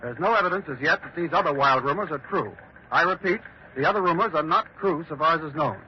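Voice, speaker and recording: A man's voice: fairly high pitch, fast, natural voice. A man acting as a solemn studio announcer in a 1940s radio drama, reading bulletins in a clipped, dramatic way. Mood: grave, dramatic. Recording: muffled, hissy 1940s radio recording.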